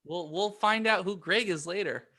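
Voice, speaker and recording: A man's voice: sits high, moderate pace, bright, forceful tone. A man co-hosting a comedy podcast in casual, joking banter. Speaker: podcast co-host.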